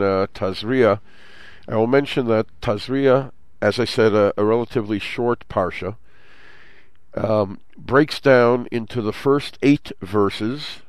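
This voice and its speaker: A man's voice: mid-range voice, clear, resonant tone, no echo. A man with a stuffed-up, nasal voice hosting a Torah study program, explaining scripture steadily and mixing in Hebrew terms. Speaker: host. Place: Jerusalem.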